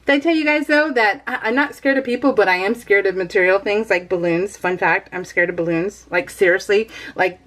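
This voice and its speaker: A woman's voice: medium pitch, fairly quick, few pauses, a bit bright. A middle-aged American woman chatting casually as a vlogger, telling personal stories in a rambling, emphatic way with exasperated asides.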